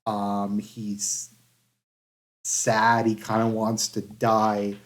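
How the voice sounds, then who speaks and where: A man giving casual review commentary in a halting, hedging way, with phrases that trail off. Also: fairly low pitch, completely flat delivery. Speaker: anime reviewer.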